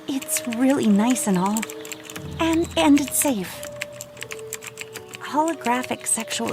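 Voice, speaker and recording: Lady doing a brazen cheating wife, slow drawly delivery, audio drama, music under her. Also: on the high side. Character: brazen, unfaithful wife sending a message. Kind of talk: comedy audio drama acting.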